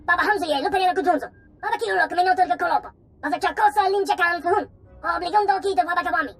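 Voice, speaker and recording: A woman's voice: very high voice, very fast. A woman voicing a small, eager alien creature in a video game, chattering away in an invented tongue.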